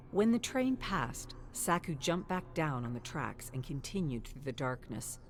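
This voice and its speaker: A woman reading scripted dark fantasy narration in a measured, dramatic storytelling way. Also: low-pitched.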